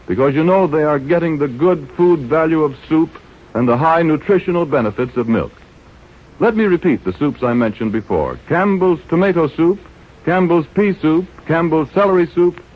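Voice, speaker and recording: A man announcing on 1930s radio, in a polished, measured, persuasive sponsor's style. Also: mid-range voice. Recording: dull old radio recording.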